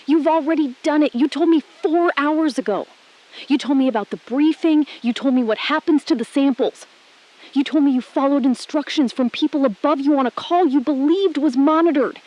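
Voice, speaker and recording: A woman playing a resolute, shrewd astronaut in an audio drama, speaking in a controlled, deliberate way and repeating phrases for emphasis. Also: high voice, darkish tone, natural voice, low-quality recording. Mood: firm, confronting. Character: resolute, shrewd female astronaut exposing a cover-up. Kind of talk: scripted audio drama, hushed dramatic phone-call acting.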